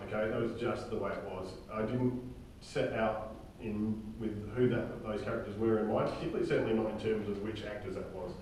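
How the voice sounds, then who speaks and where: A man informally briefing a group of actors as their director, halting as he goes and often checking in with "okay?". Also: fairly low pitch, steady tone, a little mellow. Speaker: director and writer leading a drama table read. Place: reverberant indoor room, recorded from far off. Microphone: distant room microphone. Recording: very echoey room, distant mic, faint noise.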